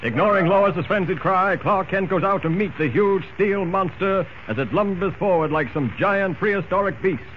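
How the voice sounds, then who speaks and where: A man's voice: sits high, moderate pace, few pauses, slight background noise. A man announcing a radio serial in an urgent, declamatory narration, on a muffled old radio recording.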